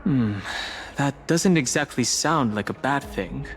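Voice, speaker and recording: Game casting: cool-headed reserved young fighter, mid-range voice, slow, serious, understated. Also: male voice, mid-range voice, animated. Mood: reserved, pensive. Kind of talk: video game voice acting.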